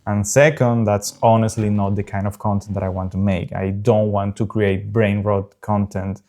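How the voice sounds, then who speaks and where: Earnest low-voiced man, Spanish accent. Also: little pitch movement, mellow. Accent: South American Spanish. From South America. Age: early 30s. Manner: earnest, unpolished, frequent pauses. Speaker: gaming channel host. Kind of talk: casual talk over gameplay.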